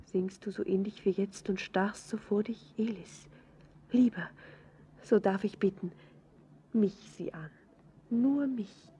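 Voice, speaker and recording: Devoted young lover, radio drama, breathy, unhurried, imploring, anxious tenderness. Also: female voice, medium pitch, mellow. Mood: anxious pleading. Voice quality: breathy, half-whispered. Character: devoted, anxious young woman pleading with her beloved. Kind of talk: poetic German radio drama acting.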